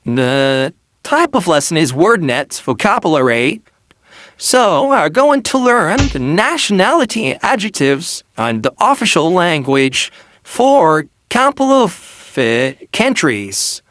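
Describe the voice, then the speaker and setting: A man's voice: a little high, sing-song, slow pace, fairly bright tone, no room sound, studio quality. A man hosting an English lesson channel, greeting his followers and introducing the day's lesson in a friendly teacher tone.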